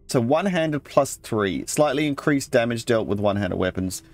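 A man's voice: mid-range voice, lively intonation, moderate pace, darkish tone. A man hosting a let's play, casually thinking aloud and reading game text as he goes. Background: game music.

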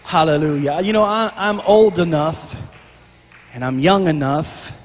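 A middle-aged man preaching on a church stage into a handheld mic, with a shouting, half-sung preacher cadence that drops to quiet prayer. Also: a little high, lively intonation, unhurried, a little hiss or hum. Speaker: pastor. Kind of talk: Pentecostal sermon and prayer. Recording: long church reverb, music behind.

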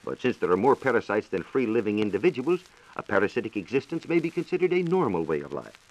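An American man explaining science in a measured, formal way on a 1940s radio program. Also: on the low side, rough recording. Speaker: science reporter and radio co-host. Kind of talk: 1940s radio science talk, answering questions. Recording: 1940s radio transcription disc.

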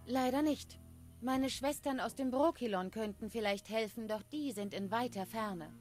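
A woman voicing a proud dryad in a video game, speaking in measured, solemn, formal phrases. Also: a little high, soft background music, natural voice. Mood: cool, knowing. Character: grave female dryad, proud guardian of the forest. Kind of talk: scripted game dialogue.

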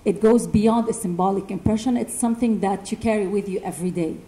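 A woman from Lebanon speaking earnestly and in a measured way as a panelist, sharing her own story in a university classroom. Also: mid-range voice, mellow. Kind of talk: personal testimony talk.

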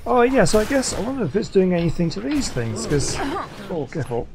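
A man's voice: sits high, sing-song, brisk, background noise. Man muttering through game commentary. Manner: hesitant, muttering to himself. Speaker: gaming YouTuber. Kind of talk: casual gameplay commentary. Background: game music.

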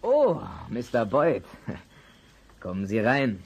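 A man playing a shady, secretive father with a rough, raspy voice in a German children's radio play. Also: medium pitch, huge pitch swings, drawn-out words, heavily acted. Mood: welcoming.

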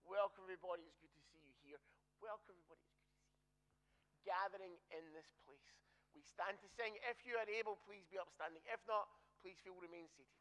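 A man's voice: high voice, a little fast, fairly bright tone, low-quality recording. A man, a minister, giving the church notices in a chatty, joking way and correcting himself as he goes.

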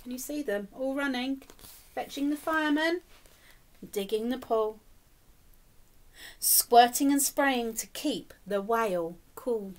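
A middle-aged woman reading a picture book aloud to young children, expressive and encouraging, often putting questions to them. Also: on the high side, slow pace, long pauses. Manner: expressive, exaggerated, encouraging, speaking as to small children. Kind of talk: animated children's storytime reading with questions to the listeners. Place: small indoor room, plain wall.

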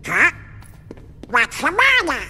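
A man's voice: very high voice, wildly swooping pitch, slow, bright, forceful tone, a little hiss or hum, exaggerated voice. A man voicing a hot-tempered male cartoon duck in a video game, with a slurred, buzzy, quacking squawk. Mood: puzzled, bragging. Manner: blustery, emphatic. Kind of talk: video game cutscene voice acting. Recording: long hall reverb, music behind.

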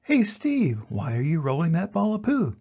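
A man voicing curious animals in a storybook, asking questions in a high, squeaky put-on voice. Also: wildly swooping pitch, normal speed, a little mellow, slightly rough, muffled recording, full character voice.

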